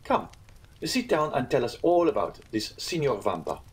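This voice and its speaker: Man reading a courteous, reasonable gentleman, slightly husky. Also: mid-range voice, big pitch swings, moderate pace, pitched above the performer's own voice. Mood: reassuring, probing. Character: courteous, reasonable gentleman, calm and quietly probing.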